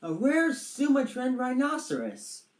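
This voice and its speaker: A timid, jolly storybook dragon lad voiced by a woman pipes up in a high voice. He is excitable and old-fashioned in his exclamations, and the room echoes around him. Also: unhurried, full character voice. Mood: eager. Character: excitable, timid young male dragon with posh British airs.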